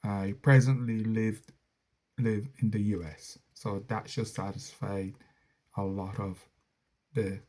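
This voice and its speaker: A man's voice: fairly low pitch, steady tone, slow pace, mellow. A middle-aged man with a Barbadian accent, a content creator casually answering viewer questions in a rambling, self-correcting way. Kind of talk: casual Q&A monologue.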